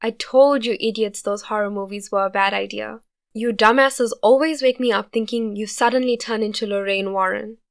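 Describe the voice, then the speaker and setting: A woman voicing a gruff, short-tempered teenage boy who barks and swears loudly. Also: medium pitch, steady tone, normal speed, natural voice. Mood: irritated. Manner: loud, barking, swearing.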